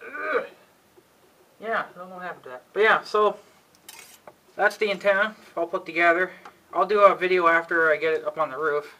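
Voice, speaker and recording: A man's voice: high voice, normal speed, long pauses, sharp tone. A man hosting a casual, rambling hands-on demonstration, folksy and half muttering to himself. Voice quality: frequent throat clearing. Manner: folksy, muttering to himself, mild exasperated cussing. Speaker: video host showing an antenna assembly. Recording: clearly noisy homemade recording with clicks.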